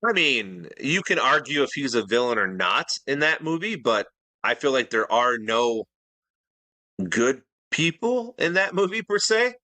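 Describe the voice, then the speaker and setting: Male co-host, bright tense tone, rambling defensive chat, dry recording. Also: mid-range voice, sing-song, unhurried, no room sound. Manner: defensive, rambling, many restarts. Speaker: podcast co-host. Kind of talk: casual debate chat.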